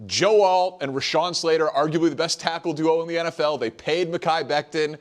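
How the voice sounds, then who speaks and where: A man's voice: fairly high pitch, steady tone, a little fast. A man on a sports debate panel, making his case in an insistent, defensive way. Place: TV studio.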